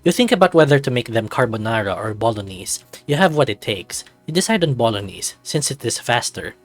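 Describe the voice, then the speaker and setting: A narrator reading a visual novel aloud in an expressive, playful way, with bits of casual commentary. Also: mid-range voice, fairly quick. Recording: dry recording with music behind.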